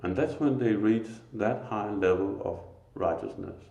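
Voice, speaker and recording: Slow, gravelly Danish-accented man preaching. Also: lowish voice, a little mellow, lo-fi sound. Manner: slow and earnest, pausing often. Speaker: lay preacher. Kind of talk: informal spiritual talk. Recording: echoey room.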